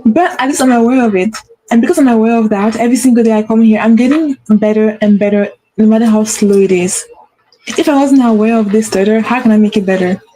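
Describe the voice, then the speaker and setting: A woman's voice: fairly high pitch, fast, mellow, rough recording. A woman hosting a motivational talk, speaking with a stutter and repeating phrases for emphasis. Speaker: host.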